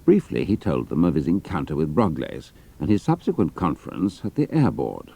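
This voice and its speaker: A man's voice: very deep voice, wildly swooping pitch, fairly quick, creaky, warm tone, breathy edge. A man narrating a dramatised adventure story.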